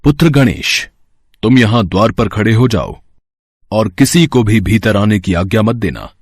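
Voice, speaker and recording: A commanding father god from a mythological tale, acted by a man. He gives formal, measured orders. The sound is dry, with little room. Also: on the low side, lively intonation, a little mellow, breathy edge, no room sound.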